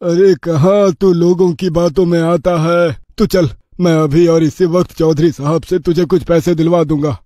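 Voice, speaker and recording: A man playing a sly village servant in a cartoon story, flattering and coaxing with false sympathy. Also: a little high, measured pace, few pauses, dry recording, studio quality, lightly acted. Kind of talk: Hindi cartoon moral story dialogue.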